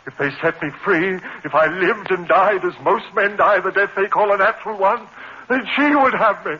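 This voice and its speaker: A man's voice: high-pitched, normal speed, sharp tone, breathy edge, highs cut off, exaggerated voice. A man playing an anguished, haunted murderer in overwrought 1950s horror drama style, on an old broadcast recording. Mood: grief-stricken, resigned. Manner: frantic, melodramatic, overwrought. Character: anguished condemned murderer haunted by a demon woman.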